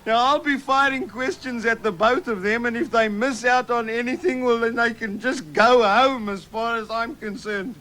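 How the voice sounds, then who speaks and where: A man's voice: very high-pitched, fairly even intonation, sharp tone. A man playing a manic, bossy evil manager who hosts a quiz show in a madcap 1970s radio comedy. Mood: grandly welcoming. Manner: rapid-fire, bossy questioning. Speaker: quizmaster.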